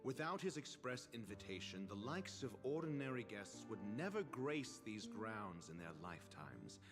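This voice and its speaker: An actor playing a haughty, learned doctor in a video game, pompous and formal, with measured, condescending delivery. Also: male voice, mid-range voice, sing-song, over music. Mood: aloof.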